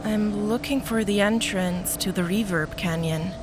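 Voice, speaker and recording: A woman's voice: mid-range voice, measured pace, close to an everyday voice. A woman playing an odd, earnest character who makes surreal pronouncements in a radio play. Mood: subdued. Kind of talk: scripted radio play acting. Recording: prominent music under the voice. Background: loud music.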